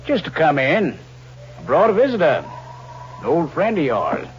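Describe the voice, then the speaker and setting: An actor playing a sly, needling, falsely friendly crook who schemes murder, in 1940s radio style. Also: male voice, a little high, sing-song, moderate pace. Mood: coaxing. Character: sly, fat scheming crook plotting his uncle's murder. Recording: dull, hissy old radio recording.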